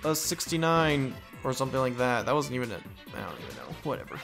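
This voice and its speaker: A man's voice: mid-range voice, sing-song, fast, creaky. Man doing casual game commentary over music. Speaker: let's play host. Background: video game music.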